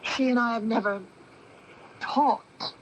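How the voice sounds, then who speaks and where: A woman's voice: a little high, fairly even intonation, slow pace, dull audio. An actress playing a bitter, accusing young woman with a split self in a 1980s radio drama, with intense, theatrical delivery. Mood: resigned, bitter. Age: young adult. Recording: noisy 1980s AM radio broadcast.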